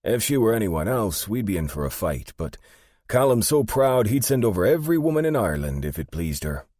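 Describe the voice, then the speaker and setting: A man's voice: lowish voice, big pitch swings, brisk, darkish tone, dry recording, clean studio sound, voice pushed up. A man voicing a wry, frank gang associate in an audiobook. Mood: frank, amused.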